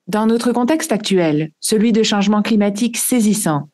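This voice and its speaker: A woman hosting a science podcast, reading a scripted introduction in a smooth, measured way. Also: mid-range voice, darkish tone. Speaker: podcast host. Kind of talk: scripted narration.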